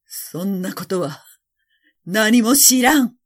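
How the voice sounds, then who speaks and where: A woman's voice: mid-range voice, lively intonation, slow pace. A woman voicing a dying old emperor in a storybook, imperious and desperate, crying out in old-fashioned speech. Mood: agitated, commanding.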